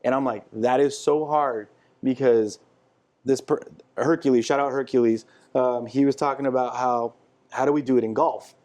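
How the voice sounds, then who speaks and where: A man's voice: medium pitch, steady tone, normal speed, a little mellow. A young man hosting a podcast in casual chat, talkative and eager, encouraging his guest as he listens. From San Diego, California. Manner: chatty, enthusiastic, encouraging listener. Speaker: podcast host.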